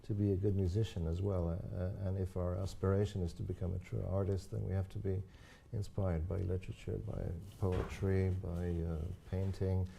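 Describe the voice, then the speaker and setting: A man's voice: deep voice, level tone, normal speed, creaky, few pauses, mellow, slight background noise. An older man, a native Croatian speaker and horn teacher, talking in a thoughtful interview with frequent restarts and agreeing yeahs. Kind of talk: live online interview.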